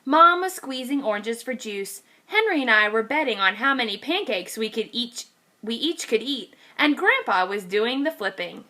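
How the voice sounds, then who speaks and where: Teacher, female, bright higher voice, very animated storybook read-aloud for little kids. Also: moderate pace. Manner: lively, expressive reading to young children. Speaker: kindergarten teacher.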